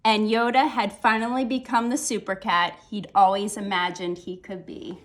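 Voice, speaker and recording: A woman reading a children's picture book aloud, phrasing deliberately with dramatic emphasis. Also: medium pitch, little pitch movement, faint music behind. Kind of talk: animated children's story read-aloud.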